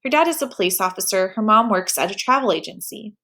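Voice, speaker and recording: A woman reading aloud the lines of a quiet, troubled teenage boy talking with his mother. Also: medium pitch, lively intonation, fast talker, creaky, full character voice. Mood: subdued.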